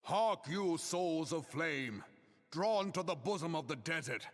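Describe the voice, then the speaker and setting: A man's voice: fairly high pitch, lively intonation, measured pace. An actor playing a proud, solemn military statesman in a fantasy game, declaiming in grand, archaic language. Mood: proud, stately. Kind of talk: theatrical public oration in a fantasy game. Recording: long echoing hall reverb.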